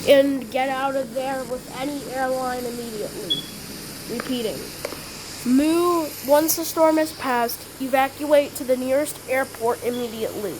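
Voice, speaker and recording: A woman improvising an amateur mock emergency broadcast as the announcer, with halting, repetitive delivery. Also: high voice. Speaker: emergency alert announcer in a hobby scenario. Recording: clearly noisy home recording.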